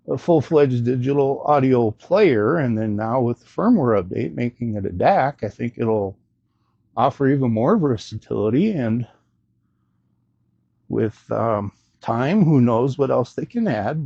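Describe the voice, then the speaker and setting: Gravelly, husky man, casual gadget reviewer. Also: mid-range voice, mellow, no echo, muffled recording. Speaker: host of a gadget review video. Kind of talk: casual unscripted product demo and review.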